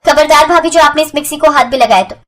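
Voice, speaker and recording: A very high-voiced young sister-in-law put on by a woman storyteller. She is possessive and hot-tempered, snapping out rude warnings in a moral-story style. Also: fast, a little hiss or hum, natural voice. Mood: angry. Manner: sharp, threatening, rude.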